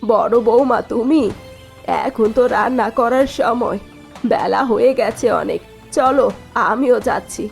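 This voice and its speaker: Lady doing bossy older mother-in-law for a cartoon, dramatic, a bit high, music under it. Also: measured pace, creaky. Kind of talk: Bengali cartoon voice acting. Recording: music behind the voice.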